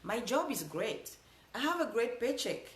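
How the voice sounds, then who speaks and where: A woman's voice: on the high side, sing-song, measured pace, roomy sound. A woman with a Cameroonian accent giving an earnest motivational talk, repeating phrases for emphasis. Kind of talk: motivational speech.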